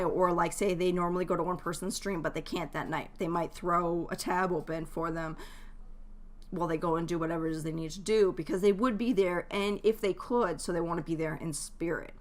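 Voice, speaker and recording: Chatty woman streamer, medium-pitched voice. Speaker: game streamer hosting a live stream. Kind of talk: casual chat, advice to viewers.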